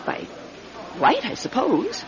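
A woman's voice: sits high, huge pitch swings, slow pace, crowd noise, full character voice. An actress playing a timid, genteel old lady in a radio drama, fussy, hesitant and insistent. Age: older. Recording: dull, lo-fi old radio recording.

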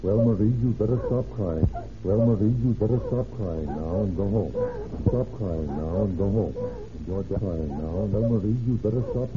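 Male actor as American sheriff, patient, low voice, radio drama, noisy dull old recording. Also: moderate pace, natural voice. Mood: coaxing. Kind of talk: old-time radio acting.

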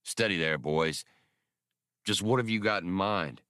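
A man's voice: low-pitched, unhurried, a bit bright, clean tone, dry recording, studio quality. A man voicing an older, cautious adventurer who steadies his companions in an audiobook. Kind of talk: audiobook character voice.

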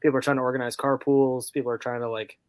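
A man with an American accent and a medium-pitched voice. He co-hosts a soccer podcast and shares match opinions in loose, informal conversation. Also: level tone, fast, smooth. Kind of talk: casual chat, match analysis.

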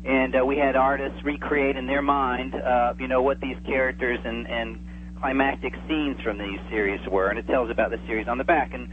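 A man's voice: medium pitch, little pitch movement, normal speed. A man chatting casually and enthusiastically as a guest on a radio interview, calling in by telephone. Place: remote, calling in to a radio show. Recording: tinny phone line with noticeable hum.

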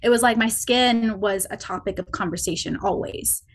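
A young woman, a podcast guest, sharing personal experiences in a reflective, halting way with many restarts. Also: medium pitch. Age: young adult. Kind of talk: personal storytelling in conversation.

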